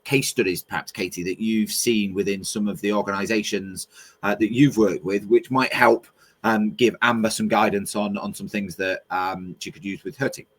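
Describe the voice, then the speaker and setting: A man's voice: lowish voice, steady tone, normal speed. A man hosting a webinar panel on a video call, asking questions with a lot of hesitant restarts and fillers. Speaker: webinar host. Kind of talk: moderating a panel discussion.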